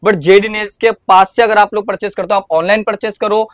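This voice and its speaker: A man in a shop giving a rapid product walkthrough, quoting prices in a repetitive sales patter. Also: high-pitched, fairly even intonation, dry recording, clean studio sound. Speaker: vlogger showing a wholesale shop. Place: indoor wholesale shop.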